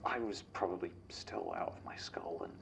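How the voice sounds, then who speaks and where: A man's voice: deep voice, huge pitch swings, normal speed, creaky, in a whisper, heavily acted. An actor playing a shaken man recounting a horror encounter in an audio drama monologue. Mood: shaken, hushed.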